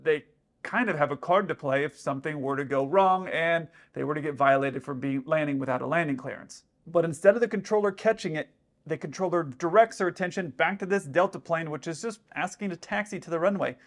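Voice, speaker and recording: A man's voice: on the high side, a little fast. A man in his thirties, an airline pilot, explaining radio exchanges to camera in a casual, step-by-step way, recorded in a dim indoor room. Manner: explanatory, with frequent "let's say" asides.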